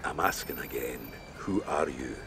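A man's voice: very deep voice, animated, a little slow, vocal fry, fairly bright tone, slight background noise, full character voice. An actor playing a suspicious, combative old Scottish father with a blunt, scolding manner in a radio drama.

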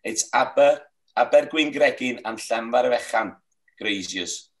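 A man's voice: medium pitch, animated, unhurried, far from the mic. A middle-aged Welsh man whose first language is Welsh, describing his hill farm with earnest, heartfelt passion on a video call. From Wales. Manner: earnest, heartfelt, rambling with restarts. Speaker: sheep farmer guest. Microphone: webcam on a video call.

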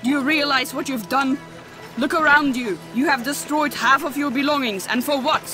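Actress as a stern, commanding fantasy matriarch over music. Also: female voice, high-pitched, moderate pace, lightly acted. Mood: stern, scolding. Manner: imperious, scolding, formal archaic phrasing. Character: stern, commanding woman healer and mother, scornful of fools. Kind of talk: fantasy audio drama acting. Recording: loud music behind the voice.

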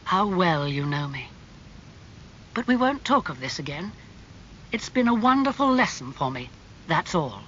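Man acting an anguished young gentleman, highly animated. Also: measured pace, long pauses, highs cut off, a little hiss or hum, exaggerated voice. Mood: subdued. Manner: emotional, pleading. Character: anguished young gentleman, impulsive, remorseful, earnest.

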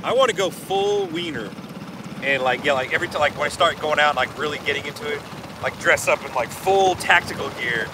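A middle-aged man talks quickly with a fairly high voice, rambling and joking through one story after another. He sits a few feet from a phone camera in an open boat on a lake. Loud wind and water noise fill the gaps. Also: big pitch swings. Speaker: guest. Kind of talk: casual chat. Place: small boat on a lake, outdoors. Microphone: phone camera a few feet away.

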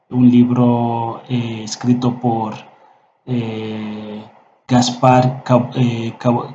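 A middle-aged man from the Democratic Republic of the Congo, a professor whose first language is Swahili, speaking slowly with many fillers as he introduces and recites a short poem from a webcam in a small room. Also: mid-range voice, dark tone, reverberant, muffled recording, a little hiss or hum. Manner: slow, hesitant speech with frequent fillers. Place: small indoor room at a desk.

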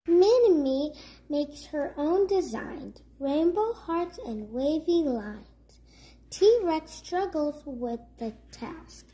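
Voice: high voice, lively intonation, drawn-out words, dark tone. A child reading a rhyming picture book aloud as a story-time host, carefully and word by word.

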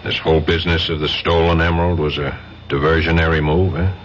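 An actor playing a stern, bitter federal detective in a 1970s radio drama. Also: male voice, rumbling low, flat delivery, fast talker. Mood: cold, bitter. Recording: dull, noisy old radio recording.

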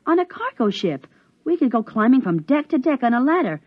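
A woman's voice: high voice, very animated, brisk, dry recording. A woman playing a restless, cheeky young boy with a sulky, eager manner in a 1950s radio drama. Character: restless young boy, cheeky, grumbling about his sisters. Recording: dull vintage 1950s radio recording.

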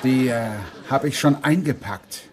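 An actor playing an indignant, thrifty husband and friend in a dubbed television sitcom. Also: male voice, mid-range voice, unhurried, background noise, natural voice. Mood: awkward.

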